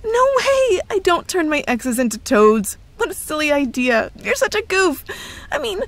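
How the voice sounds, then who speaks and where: A woman's voice: very high voice, very animated, normal speed, full character voice. A woman playing a cheerful, evasive young girlfriend who is secretly a witch, in a playful ASMR roleplay with feigned innocence. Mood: flustered denial. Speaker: audio roleplay voice actress. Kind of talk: ASMR roleplay, scripted one-sided dialogue.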